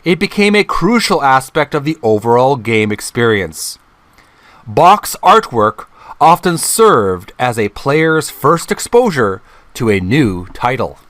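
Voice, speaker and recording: A middle-aged man hosting a channel, reading a book aloud in a deliberate, halting way, phrase by phrase. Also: mid-range voice, a bit bright, dry recording. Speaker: video channel host.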